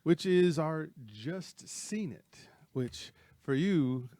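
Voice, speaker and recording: A man's voice: fairly high pitch, lively intonation, drawn-out words, warm tone, dry recording. A man hosting a casual movie talk show from a studio, rambling and correcting himself as he goes, with frequent chuckles. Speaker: host. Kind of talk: casual podcast chat.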